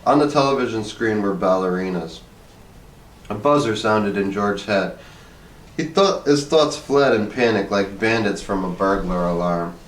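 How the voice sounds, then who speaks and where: A man reading a short story aloud as an expressive narrator. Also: lowish voice, measured pace. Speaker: story reader. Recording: echoey room, distant mic, faint noise.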